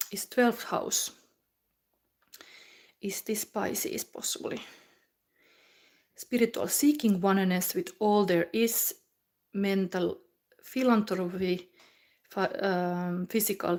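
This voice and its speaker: A woman's voice: mid-range voice, takes it slowly, pauses often, darkish tone. A woman from Finland with a Finnish accent, speaking non-native English in a rambling, word-searching way as she gives a casual psychic tarot reading to viewers.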